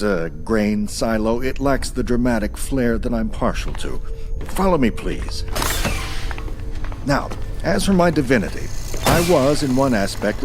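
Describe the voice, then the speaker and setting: An actor playing an ancient godlike being in an audio drama, amused and urbane, with a theatrical, erudite and wryly polite manner. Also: male voice, medium pitch. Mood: grandly amused.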